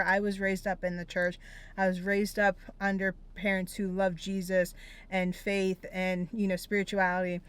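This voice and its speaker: A woman's voice: lowish voice, a bit bright, smooth. A young American woman speaking earnestly and reflectively, sharing her personal story in a vlog-style monologue. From Pennsylvania, United States. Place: inside a parked car on a rainy day.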